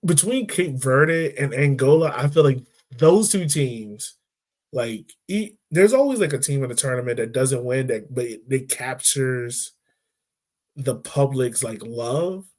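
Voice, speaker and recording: Casual man hosting football chat, mid-pitched voice. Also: expressive, a little slow, darkish tone. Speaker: podcast host discussing football. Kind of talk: casual conversational sports chat.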